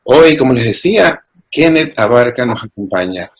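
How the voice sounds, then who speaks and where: A man's voice: mid-range voice, lively intonation, normal speed. A man in his forties who hosts a radio interview, speaking in a friendly, conversational way with occasional hesitant fillers. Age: 40s. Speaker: radio host.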